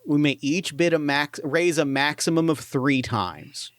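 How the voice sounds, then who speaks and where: Smooth-voiced enthusiastic male game master. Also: on the high side, measured pace, a bit bright, no room sound. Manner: enthusiastic, profane, stumbles over restarts. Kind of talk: actual-play tabletop narration.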